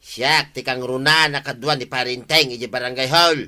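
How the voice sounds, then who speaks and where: A man's voice: mid-range voice, steady tone, normal speed, bright, forceful tone. An actor playing a chatty, boastful village man in a radio comedy drama, with an Ilocano accent. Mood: indignant.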